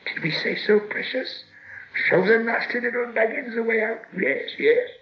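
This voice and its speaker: A man's voice: extremely high pitch, normal speed, dull audio, faint music behind, pitched above the performer's own voice. A man voicing a nasty, wheedling cave creature in a storybook, hissing and shrieking, muttering to himself in broken grammar. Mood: sly, hissing. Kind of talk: storybook character voice.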